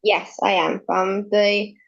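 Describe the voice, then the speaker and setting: A young woman with an Irish accent talks in a loose, conversational way, with plenty of ums. Her voice sits at middle pitch and comes through slightly dull remote-call audio. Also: little pitch movement, slow, gravelly. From Ireland. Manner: conversational, with many ums and you knows. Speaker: guest. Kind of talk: casual interview talk. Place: home in Canada, remote call.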